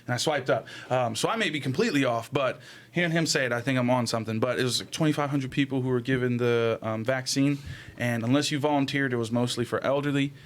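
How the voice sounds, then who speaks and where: A man's voice: mid-range voice, quick pace. A man in a casual podcast chat, explaining things in a slangy way with some swearing. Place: small room set up as a podcast studio. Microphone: close podcast microphone on a stand. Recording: clear background noise.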